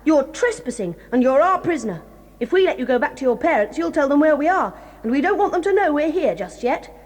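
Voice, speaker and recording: A spirited tomboy girl who talks back defiantly. The voice is high and lively. It rings with a long reverb tail. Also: fairly quick. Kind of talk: 1980s audio drama acting.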